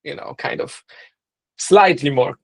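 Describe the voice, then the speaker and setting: A man's voice: on the high side, unhurried, breathy edge. A middle-aged man with a Polish accent, a non-native English speaker, being interviewed on a podcast and thinking aloud with frequent restarts and "right?" checks. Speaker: co-founder and marketing lead, guest.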